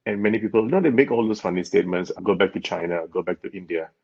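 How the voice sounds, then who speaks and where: A man's voice: low voice, fast, darkish tone, breathy edge. A man from Malaysia, a guest in an interview, explaining in an earnest way and laughing often. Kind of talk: conversational interview. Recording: dull lo-fi sound.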